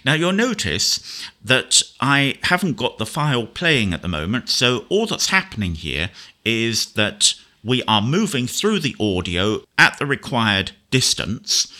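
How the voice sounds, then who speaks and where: Male tutorial presenter. Lowish, bright, lively intonation, casual and explanatory. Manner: conversational, explanatory. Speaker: presenter demonstrating screen reader software.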